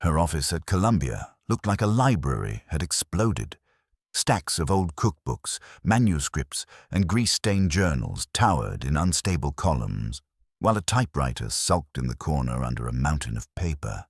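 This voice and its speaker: Very deep-voiced man narrating detective fiction. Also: sing-song, moderate pace, slightly rough, no room sound, clean studio sound. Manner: wry, hard-boiled storytelling. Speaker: audiobook narrator. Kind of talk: scripted first-person detective story narration.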